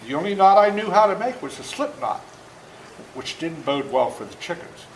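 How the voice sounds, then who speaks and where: An elderly man tells a story to children in a folksy, animated manner. His fairly high voice moves through a lively range of pitch. He sounds like he is in a roomy indoor space with some echo. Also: moderate pace. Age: 70s. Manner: folksy, interactive, acts out moments. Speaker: author reading his book to children. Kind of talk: animated storytelling read aloud to a young audience. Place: indoor room before a school audience. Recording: roomy echo, faint background noise.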